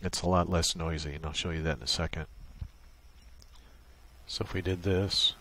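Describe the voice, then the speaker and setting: A man's voice: low-pitched, little pitch movement, normal speed, sharp tone. A man presenting an unscripted tutorial, explaining a setup casually, step by step. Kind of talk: casual unscripted software walkthrough.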